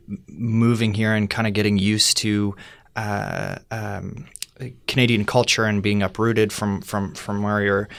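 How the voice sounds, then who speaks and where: A man's voice: on the low side, fairly bright tone, no room sound, clean studio sound. A man hosting an interview, asking questions in a hesitant way full of fillers and restarts. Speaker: interviewer, podcast host. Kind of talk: casual interview chat.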